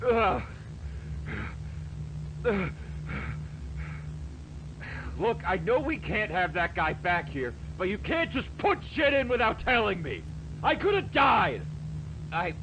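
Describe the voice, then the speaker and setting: A jittery game worker, curt, shouty, lively pitch, noisy background. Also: male voice. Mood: panicked angry. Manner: curt, rattled, quick to shout. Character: male worker running a face-scanning check, jittery and fed up. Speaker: game character.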